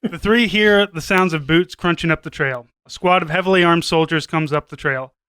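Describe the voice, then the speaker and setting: A male podcast narrator reading a comic script. His voice is high, bright and clean, with very little room sound around it. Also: normal speed, clean tone, no echo.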